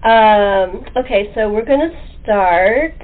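A woman's voice: medium pitch, lively intonation, takes it slowly. A woman hosting a casual chat show. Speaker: podcast host. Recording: thin, tinny phone-like line.

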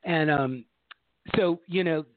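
Guy rambling on about his stage stuff, bit higher voice, sounds like a tinny phone call, super dry. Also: takes it slowly, no room sound. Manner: animated, long-winded storytelling. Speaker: talk show co-host and stage actor. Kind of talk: casual chat. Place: Los Angeles.

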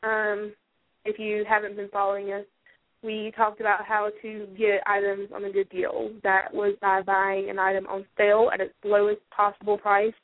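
A woman calls in as a radio guest and explains her topic in a friendly, unhurried way, often pausing on "um". Her voice has a medium pitch. She comes through a dry, tinny phone line. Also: completely flat delivery, no room sound. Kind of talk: explanatory talk segment.

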